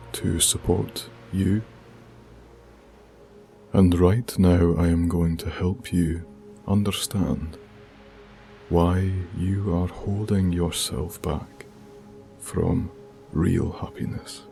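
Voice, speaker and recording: A man with a low, mellow, hushed voice guides a meditation in ASMR style. He speaks extremely slowly and soothingly, leaving long pauses between short phrases. His soft delivery is unhurried and deliberate throughout. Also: creaky. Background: music with binaural beats.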